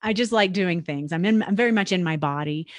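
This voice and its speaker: A woman's voice: on the low side, brisk, dry recording. Reflective woman in her forties, thinking aloud. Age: 40s. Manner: reflective, thinking out loud with many restarts. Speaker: podcast host. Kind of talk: casual conversational interview.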